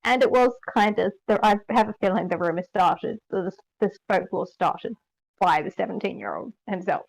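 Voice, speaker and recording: A young woman from New Zealand with an accent softened by years in Australia, chatting calmly with a dry, teasing manner. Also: medium pitch, moderate pace, vocal fry, rough recording. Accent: Australian-tinged New Zealand. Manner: dry teasing, calm, coaching. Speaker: co-host. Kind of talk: casual worldbuilding chat.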